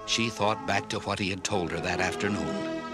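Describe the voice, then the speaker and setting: A man narrating a children's story in an expressive, clearly enunciated read-along style. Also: sits low, moderate pace, over loud music. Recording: orchestral film score under narration.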